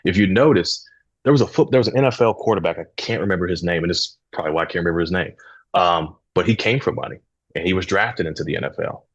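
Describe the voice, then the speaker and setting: A man in his thirties from St. Louis, a podcast guest telling stories in an emphatic, animated way. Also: deep voice, quick pace, breathy edge, dry recording, muffled recording. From St. Louis, Missouri. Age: 30s. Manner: emphatic, animated storyteller, swears casually. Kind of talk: casual podcast conversation.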